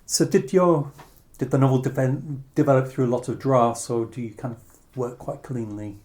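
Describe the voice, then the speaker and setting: Dark, mellow-voiced interviewer. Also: medium pitch, fairly even intonation, normal speed. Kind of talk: casual interview questions.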